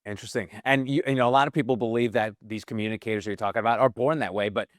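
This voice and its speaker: A man hosting a podcast asks questions and chats in a relaxed, conversational way, with frequent fillers. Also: on the low side, very fast, no room sound, clean studio sound. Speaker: podcast host. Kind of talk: casual interview chat.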